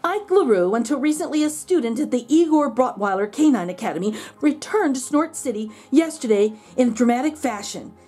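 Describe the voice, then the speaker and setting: A high-voiced woman reads a picture book aloud for children. Her delivery is expressive storybook narration, with faint music underneath. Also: fairly quick, few pauses, slightly rough. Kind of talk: expressive storybook reading with asides to young listeners.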